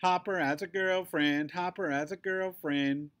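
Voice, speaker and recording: A man's voice: mid-range voice, big pitch swings, moderate pace, husky. A man voicing a mean, bulky bully boy in a storybook, growling and taunting. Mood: mocking.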